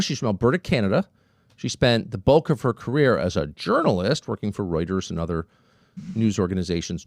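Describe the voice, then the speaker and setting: Male commentary host, very animated delivery, sarcastic, dry close-miked sound. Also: medium pitch, no echo. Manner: emphatic, sarcastic, lively. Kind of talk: political commentary monologue.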